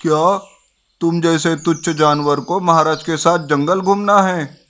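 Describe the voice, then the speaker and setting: A man's voice: fairly high pitch, fairly even intonation, unhurried, fairly bright tone. A man voicing an arrogant, dismissive bear with a haughty, scornful manner in a children's animal story.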